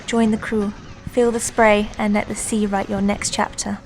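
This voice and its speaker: A woman reads a polished promotional voice-over with a level, steady pitch. Her tone is inviting and scripted, and the recording carries clear background noise. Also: normal speed. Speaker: promo narrator.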